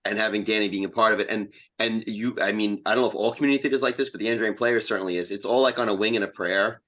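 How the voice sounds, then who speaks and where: A man's voice: lowish voice, steady tone, very fast, fairly bright tone. An American man in his fifties chatting casually and earnestly as an enthusiastic interview guest. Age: 50s. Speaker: community theater actor and playwright, podcast guest.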